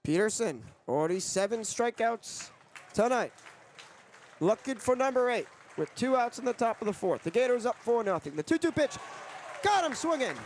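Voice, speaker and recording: A man's voice: extremely high pitch, slight background noise. A young man doing sports broadcast commentary as a play-by-play announcer and reporter. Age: young adult.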